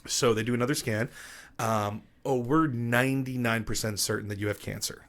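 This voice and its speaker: Animated storytelling man from New York. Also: medium pitch, normal speed. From Long Island, New York. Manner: animated storyteller who acts out quoted dialogue. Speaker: DJ and podcast guest. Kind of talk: casual storytelling interview.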